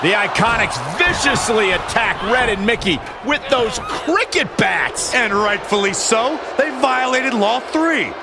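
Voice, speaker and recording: An excitable play-by-play wrestling announcer in a video game. He calls it dramatically in a very high, raised pitch over clear background noise. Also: a little slow, over a noisy crowd. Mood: excited. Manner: dramatic, emphatic. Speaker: wrestling commentator. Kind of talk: sports commentary. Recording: noisy arena ambience.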